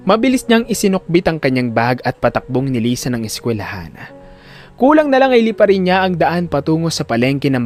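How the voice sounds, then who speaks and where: A man narrating a scripted drama story in an expressive, emphatic storyteller's delivery. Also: on the high side.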